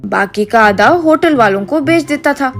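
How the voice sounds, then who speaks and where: Higher-pitched woman narrating a story. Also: animated, moderate pace, over music. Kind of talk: scripted narration of a comedy moral story.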